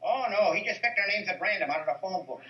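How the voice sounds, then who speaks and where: A man's voice: sits high, lively intonation, fast talker. A man playing a sarcastic, indignant sitcom character, on old television audio. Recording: muffled, distant, echoey old sitcom audio.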